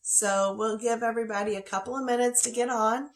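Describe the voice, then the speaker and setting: A woman's voice: on the high side, steady tone, a little fast. A woman with a scratchy voice and a slight cough, teaching a casual quilting lesson from her home sewing studio in a chatty, folksy way. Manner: chatty, folksy, talks to her dog between steps. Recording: echoey room.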